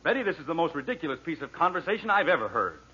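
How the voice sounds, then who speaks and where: A man's voice: on the high side, sing-song, rapid-fire, sharp tone, highs cut off. An actor playing a wry, exasperated middle-aged father, sarcastic and authoritative, in a 1940s radio comedy on a vintage recording.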